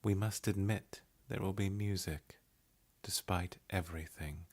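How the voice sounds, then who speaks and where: A man voice-over artist giving a measured, unhurried, hushed reading of essay and poetry. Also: low voice, fairly even intonation. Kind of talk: scripted narration of essay and poetry.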